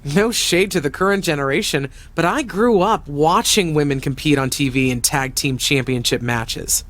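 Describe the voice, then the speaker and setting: A woman's voice: low voice, moderate pace, close to an everyday voice. Seasoned, teasing veteran woman wrestler played by an actress. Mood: indignant, proud. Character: seasoned older female wrestler mentor, teasing, proud. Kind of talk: video game cutscene acting.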